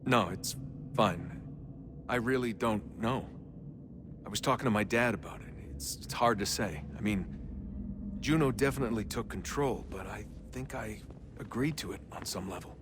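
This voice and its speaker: A man's voice: lowish voice, moderate pace, background noise, natural voice. An actor with an American accent playing a troubled, earnest young man in a video game, speaking in a hesitant, understated way. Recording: music behind the voice.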